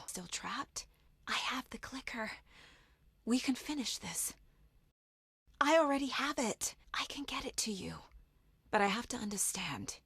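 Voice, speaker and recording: A woman's voice: a little high, fairly bright tone, slightly rough, no room sound, heavily acted. An actress voicing a determined, intense woman FBI agent in dramatic video game dialogue. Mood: hushed, tense.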